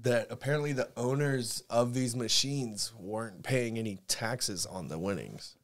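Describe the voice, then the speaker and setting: A young man in his twenties co-hosting a casual podcast, telling relaxed, joking stories into a stand mic in a small studio. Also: mid-range voice, measured pace. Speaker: podcast co-host, bartender. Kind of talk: casual chat. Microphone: studio mic on stand, headphones.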